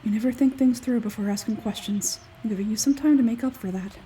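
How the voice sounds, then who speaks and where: A woman voicing an aloof, logical, smug scholarly man from a game, measured and condescending, with a husky forced-low tone. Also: warm tone, recorded from a distance, slight background noise, lightly acted.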